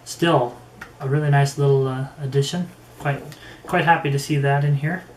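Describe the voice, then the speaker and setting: A man giving a casual, unhurried product review, haltingly thinking aloud as he goes. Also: medium pitch, fairly even intonation. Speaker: gear reviewer. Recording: roomy echo with faint background noise.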